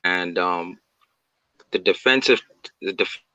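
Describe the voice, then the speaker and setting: A man from the Bahamas calling in as a guest, speaking politely and haltingly with many fillers over a video call. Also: sits low, sharp tone, clean tone, no echo, poor audio. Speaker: guest caller. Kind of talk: casual fan chat.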